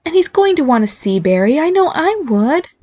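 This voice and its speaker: A fairy mother from an audiobook, played by a woman, stern but sympathetic. Her voice is raised a little in pitch and moves in lively swings as she insists and soothes. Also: moderate pace, no echo. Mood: insistent, scolding. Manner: insistent, soothing yet firm. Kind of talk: audiobook character acting.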